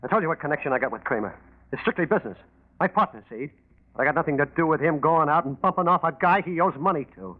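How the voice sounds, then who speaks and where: An actor playing a defiant, cornered small-time crook in 1940s radio drama, slangy, evasive and blustering. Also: male voice, a little high, expressive, quick pace. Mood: agitated, defiant. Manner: slangy, evasive, blustering, stammering when pressed. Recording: tinny, narrow-band 1940s radio recording.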